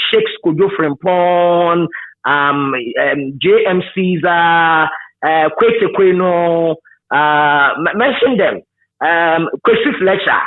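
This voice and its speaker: A man with a Ghanaian accent reminiscing in an interview, emphatic and repetitive with searching starts, over a tinny call line. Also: a little high. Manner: emphatic, repeats words, hesitant searching starts. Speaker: radio presenter being interviewed. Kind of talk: reminiscing in conversation.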